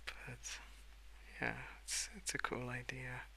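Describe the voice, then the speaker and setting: Hushed, creaky-voiced man rambling softly. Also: medium pitch, level tone, takes it slowly, poor audio. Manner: hushed, drifting between murmur and whisper. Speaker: host of an ASMR colouring channel. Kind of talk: soft-spoken ASMR rambling. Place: indoors at a wooden desk.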